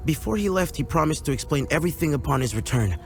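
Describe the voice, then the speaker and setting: An actor playing a guarded, earnest weapons craftsman in a video game, speaking in a measured, reflective way. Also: a little high, very animated, creaky, soft background music. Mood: thoughtful, unsure. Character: guarded, earnest male weapons craftsman, a loyal former apprentice. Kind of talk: video game dialogue acting.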